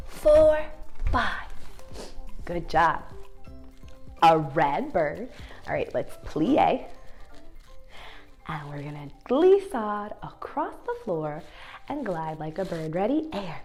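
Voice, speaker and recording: Woman, children's ballet teacher, highly expressive swooping pitch, slow pace, encouraging, music behind. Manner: encouraging, instructive, sing-song questions to children. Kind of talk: read-aloud story time and dance lesson for young children.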